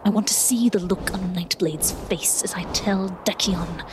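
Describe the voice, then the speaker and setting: A woman's voice: medium pitch, unhurried, background noise, lightly acted. A woman playing a fierce, vengeful swordswoman villain with a commanding, scornful manner in a fantasy audio drama. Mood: bitter, scornful.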